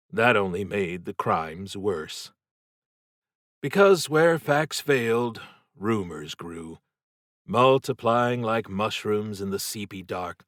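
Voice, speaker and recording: A man reads a horror novel in a dry, studio-like sound. His voice sits fairly low and he takes his time, very slowly building suspense. The delivery is measured, dramatic storytelling. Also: a bit bright, no echo. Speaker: audiobook narrator.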